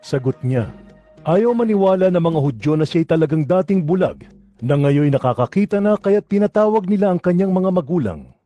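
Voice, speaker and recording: Mellow, fairly high-voiced male narrator. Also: lively intonation, normal speed, no echo, soft background music. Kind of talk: scripted scripture narration.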